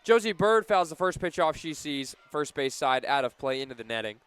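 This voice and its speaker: A man doing live play-by-play for a softball radio broadcast outdoors, punchy on big plays and conversational between them. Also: a little high, sing-song, brisk, clean tone, no room sound. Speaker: play-by-play sports announcer.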